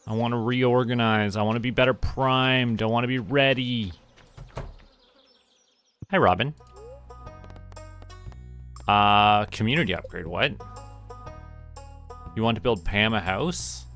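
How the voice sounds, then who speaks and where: A man from Canada streaming a farming game, talking to himself in a relaxed, muttering way as he plays. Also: medium pitch, slow pace, pauses often, clear, resonant tone. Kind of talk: casual gameplay commentary. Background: game music.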